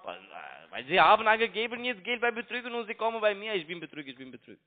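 A man with a foreign accent in German, arguing on a phone call in halting, repetitive broken grammar. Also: sits high, big pitch swings. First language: not German. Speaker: phone scam caller. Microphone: telephone. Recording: tinny narrow phone line.

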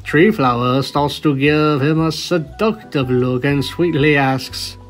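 A man's voice: fairly high pitch, takes it slowly, soft background music. A man reading a story aloud as a scripted narration. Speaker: fanfiction reader, narrator.

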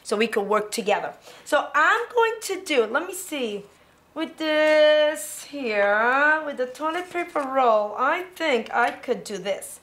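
Animated, high-pitched woman teaching preschoolers. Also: slow pace. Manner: animated, encouraging, talking young children through each step. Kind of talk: children's educational demonstration. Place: indoor classroom.

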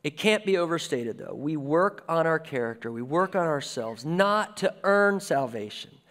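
A man in his forties preaches from a church stage in an easy, conversational way, his voice sitting a little high for a man. The sound carries a long reverb from the hall around him. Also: moderate pace. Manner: conversational preaching with lively gestures. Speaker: pastor. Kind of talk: sermon. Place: church stage with a lectern and guitars.

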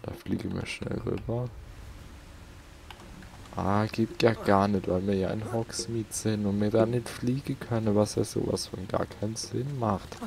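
A man's voice: on the low side, steady tone, unhurried, creaky, darkish tone, a little hiss or hum. A man with a southern German accent giving casual, colloquial gameplay commentary, muttering to himself as he goes. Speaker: let's play host. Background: game music.

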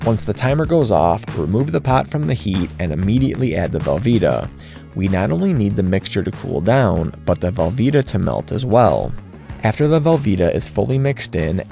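Deep gravelly man narrating a recipe. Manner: steady instructional narration. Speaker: cooking channel host. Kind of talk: scripted recipe voice-over.

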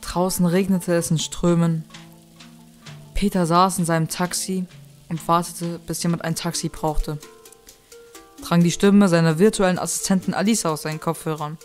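Lowish-voiced woman narrating an audio play. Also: steady tone, normal speed, a little mellow, faint music behind.

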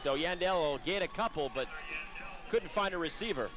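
Upbeat man calling high school football. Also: animated, music in the background, low-quality recording. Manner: brisk, upbeat play-calling. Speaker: play-by-play announcer. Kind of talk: local cable sports commentary.

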